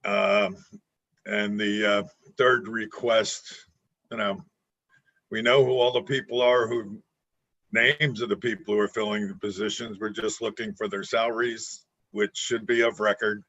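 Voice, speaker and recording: A man's voice: fairly low pitch, steady tone, measured pace, fairly bright tone, husky. A man speaking insistently as a board member in a formal meeting discussion, over muffled meeting audio.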